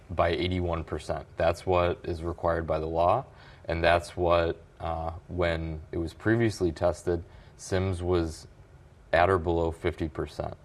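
A man from an environmental group, answering interview questions in a measured, explanatory way. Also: deep voice. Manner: measured, explanatory, occasional restarts. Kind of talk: news interview guest.

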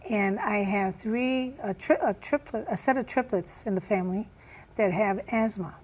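A woman with a raspy voice, chair of a community group, answering interview questions earnestly with many pauses and fillers. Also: medium pitch, darkish tone. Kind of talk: news interview guest.

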